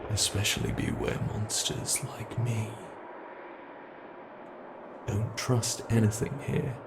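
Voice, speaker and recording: A man's voice: lowish voice, gravelly, background noise, heavily acted. A man voicing a gleeful, predatory monster from under the bed in a horror ASMR roleplay, theatrical and taunting as he addresses the listener. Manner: theatrical, taunting, slow and relishing.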